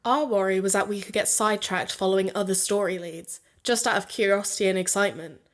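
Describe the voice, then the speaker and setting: An actress playing a curious, keen podcast co-host and amateur investigator in an audio drama. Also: female voice, mid-range voice, quick pace, close to an everyday voice. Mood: earnest, keen. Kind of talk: audio drama dialogue and narration.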